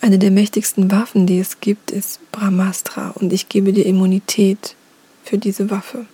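A woman voicing a stern, composed male creator god in a soft whisper. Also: medium pitch, flat delivery, moderate pace, husky.

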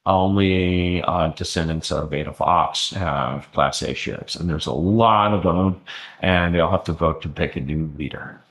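A man explaining in a lecturing way in conversation, often pausing with ums and restarting his sentences. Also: low voice, fairly bright tone. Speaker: interview guest.

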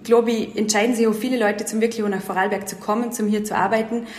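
A woman's voice: medium pitch, fairly even intonation, fast, darkish tone. Eager young woman in an echoey room. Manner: eager, leans on filler words while explaining. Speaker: event and project manager, interview guest. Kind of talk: casual interview talk. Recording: roomy echo.

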